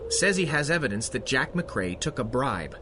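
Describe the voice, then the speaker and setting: An actor as an earnest young cop, animated pitch. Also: male voice, background noise, natural voice. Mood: troubled, sincere. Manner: halting, sincere, flustered. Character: earnest, awkward, honest young policeman, anxious and hesitant. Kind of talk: 1930s radio adventure serial acting.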